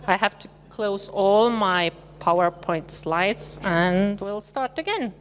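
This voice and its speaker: A woman from Estonia with an Estonian accent, giving a conference presentation in a measured, slightly hesitant way. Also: mid-range voice, animated. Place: conference hall. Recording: reverberant hall with faint hum.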